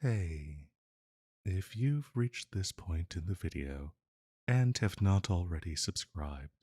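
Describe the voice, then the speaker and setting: A man's voice: deep voice, lively intonation, slow, a little mellow, husky, dry recording, clean studio sound. A man delivering a scripted outro to listeners as a channel creator.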